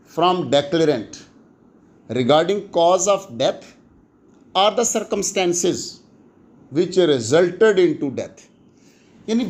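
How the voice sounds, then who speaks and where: A man with a Pakistani accent giving an explanatory lecture in a didactic way, switching between two languages and posing questions that he then answers. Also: high voice. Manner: didactic, mixes Urdu and English, poses questions then answers them. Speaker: law lecturer. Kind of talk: explanatory exam-prep lecture.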